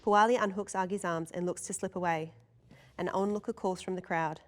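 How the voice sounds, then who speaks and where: A woman's voice: on the low side, fairly even intonation, a little fast. A woman reading scripted narration and stage directions as narrator at a table read.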